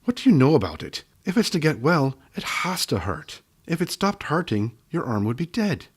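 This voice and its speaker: A man voicing a cranky old female gnome healer who scolds and bosses in a sharp, snappish way. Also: a little high, big pitch swings, moderate pace, husky, voice pushed up. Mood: scolding.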